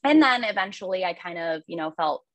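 A woman's voice: on the low side, expressive, fairly quick, a bit bright, no echo. A young woman who coaches nutrition, speaking as a podcast guest in a friendly, thoughtful way with many fillers. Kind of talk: casual podcast interview.

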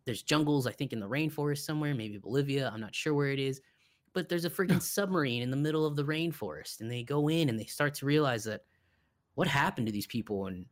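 Male co-host for a film podcast: mid-range voice, conversational and opinionated, casual delivery. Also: mid-range voice. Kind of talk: casual film review chat.

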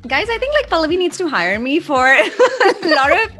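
A woman's voice: very high-pitched, very animated, moderate pace, bright, forceful tone, soft background music. A woman hosting a podcast, introducing and chatting with her guest in an enthusiastic, playfully teasing way. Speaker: podcast host. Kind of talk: podcast conversation.

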